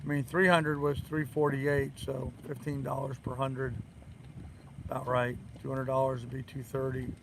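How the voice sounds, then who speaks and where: An older man with a hoarse voice, hosting a rambling, casual live stream in a halting, repetitive way. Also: medium pitch, little pitch movement. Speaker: live-stream host of a sailing channel. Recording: loud wind and boat noise.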